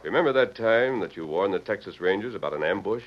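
An actor playing a steady, self-assured American hero in an old-time radio Western, speaking in a measured way. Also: male voice, fairly low pitch. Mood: firm, persuasive. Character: calm, steady Western hero posing as Easterner. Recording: dull lo-fi vintage radio recording.

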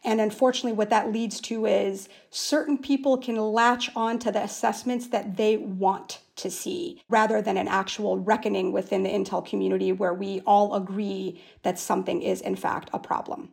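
An American woman giving analytical commentary as an expert interview guest, phrasing things formally and deliberately. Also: medium pitch, steady tone, creaky, a little mellow. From United States, born in Afghanistan. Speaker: expert guest, former naval intelligence officer.

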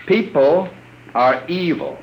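An actor in an old radio drama playing a smooth, domineering criminal mastermind, mock-affectionate and coolly menacing. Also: male voice, fairly high pitch, slow pace, highs cut off. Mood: instructing. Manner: mock-affectionate, commanding, theatrical. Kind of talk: 1950s radio drama acting. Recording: noisy vintage radio recording.

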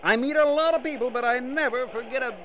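An American man playing a loud, chummy, pushy traveling salesman in 1940s radio drama style, folksy and over-familiar. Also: extremely high pitch, fast, a little hiss or hum. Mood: nosy, jovial. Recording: old radio recording, busy crowd behind.

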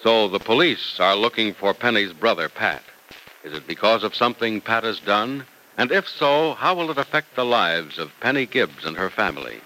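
A man delivering scripted announcements as an old-time radio announcer and pitchman. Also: sharp tone, a little hiss or hum, rough recording.

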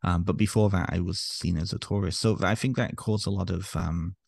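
Male podcast guest, deep gravelly voice, mixed Canadian and British accent, hesitant and reflective, dry close sound. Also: steady tone, fairly quick, darkish tone, dry recording. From England. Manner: hesitant, many restarts and fillers. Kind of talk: reflective interview conversation.

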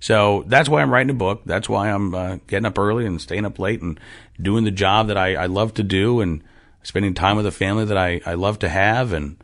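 A man's voice: lowish voice, fairly quick. A man in his forties hosting his own podcast, talking casually with lots of fillers and restarts. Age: 40s. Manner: rambling, frequent fillers and restarts. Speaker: podcast host. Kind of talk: casual monologue. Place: farm.

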